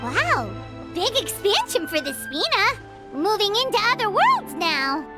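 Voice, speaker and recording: A woman voicing a tiny, childlike, excitable fairy companion in an anime game, with a squeaky voice. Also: measured pace, heavily acted. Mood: cheeky. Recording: loud tinkling music under the voice.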